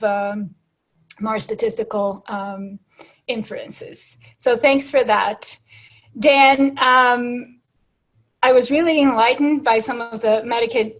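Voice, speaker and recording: A hoarse woman host thanking panelists and summing up an online panel over a video call, with frequent fillers. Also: a little high. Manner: thankful, summarizing, with many fillers. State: hoarse, losing her voice. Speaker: host closing a panel forum. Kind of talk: closing remarks at an online panel.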